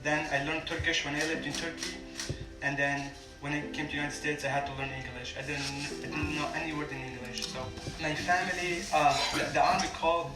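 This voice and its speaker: A young man from Syria with a Kurdish-accented English, telling his family's story to an audience at a community lunch in plain, halting sentences. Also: on the high side, fairly even intonation, far from the mic. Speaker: refugee guest speaker. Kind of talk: personal story told to an audience. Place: large room at a community lunch. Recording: echoey room, voice from afar, loud music.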